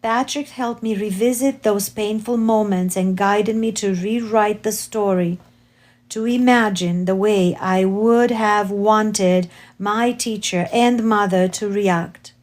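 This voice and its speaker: A woman with a Romanian accent, not a native English speaker, reading her spiritual memoir aloud slowly and carefully, with occasional self-corrections. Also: medium pitch, fairly even intonation, a little mellow. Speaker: author reading her book.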